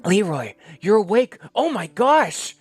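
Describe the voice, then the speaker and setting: A man's voice: high-pitched, sing-song, moderate pace, voice pushed up. A man voicing an eager, fussy young woman doctor in a one-man drama, with bubbly, anxious bursts of speech. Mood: overjoyed.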